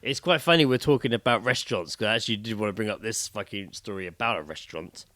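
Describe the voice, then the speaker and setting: A man's voice: lowish voice, fast talker, sharp tone, no echo. A British man co-hosting a podcast, telling stories in casual, blokey and very sweary chat. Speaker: podcast co-host.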